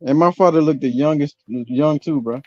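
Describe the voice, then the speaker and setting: A man's voice: fairly high pitch, moderate pace, darkish tone, no room sound. A man in his forties chatting casually and laid-back, saying "bro" a lot. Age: 40s. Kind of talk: casual chat. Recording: dull lo-fi sound.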